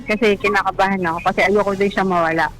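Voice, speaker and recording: A woman's voice: medium pitch, steady tone, quick pace, fairly bright tone, low-quality recording. A woman with a Filipino accent recounting a personal story in a radio interview, conversational and a little hesitant, slipping in English words. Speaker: caller telling own love story. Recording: music behind the voice.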